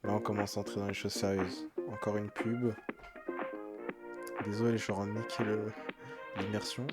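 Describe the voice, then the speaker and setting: A man's voice: lowish voice, flat delivery, moderate pace, loud music behind the voice. A man giving informal, slangy reaction commentary to a horror video as its creator and host. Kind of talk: casual reaction commentary with translation. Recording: heavy background noise and clicks.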